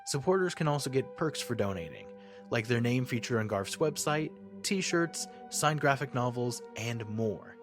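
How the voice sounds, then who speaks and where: A man's voice: mid-range voice, lively intonation, moderate pace, soft background music. A man reading a scripted fundraising advertisement as an announcer. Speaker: promo announcer.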